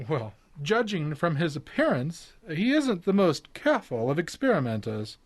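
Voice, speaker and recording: Polite nosy country doctor, high voice for a man, really up and down, radio play style. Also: sing-song, moderate pace. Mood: breezy, persuasive. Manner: courteous, prying, formal. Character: genteel, inquisitive male village doctor, politely persistent. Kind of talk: audio drama acting.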